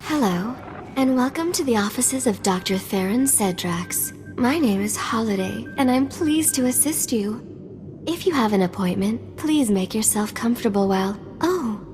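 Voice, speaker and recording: High-voiced, chatty hologram receptionist. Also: female voice, expressive, a little slow, darkish tone. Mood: perky, gushing. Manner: perky, gushing, sassy. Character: chatty, flirty, sensitive female hologram receptionist. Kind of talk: cinematic video game dialogue. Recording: hall reverb, faint music behind.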